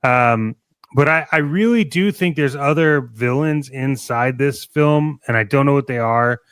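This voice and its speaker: Enthusiastic man with a clear, dry voice. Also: mid-range voice, a little slow, fairly bright tone, dry recording. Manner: enthusiastic, rambling, thinks aloud. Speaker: podcast co-host. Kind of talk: casual fan chat about toys and films.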